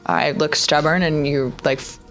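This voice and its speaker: A woman's voice: deep voice, fairly even intonation, normal speed, fairly bright tone, soft background music, rough recording. A woman playing a tabletop roleplaying game, casually describing her character's actions and speaking in character.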